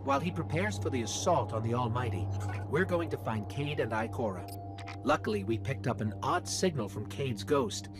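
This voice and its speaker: Man as an expressive, earnest robot companion. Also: medium pitch, close to an everyday voice. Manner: conversational, explanatory. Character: small, chatty, earnest robotic companion guiding the player. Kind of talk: video game mission narration. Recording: game music behind the voice.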